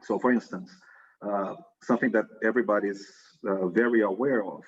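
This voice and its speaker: Man, middle-aged, Brazilian accent, Portuguese native speaker, low-pitched, husky, relaxed and thoughtful, muffled video call audio. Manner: relaxed, thoughtful, with frequent "uh" pauses. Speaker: interview guest. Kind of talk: casual conversational interview.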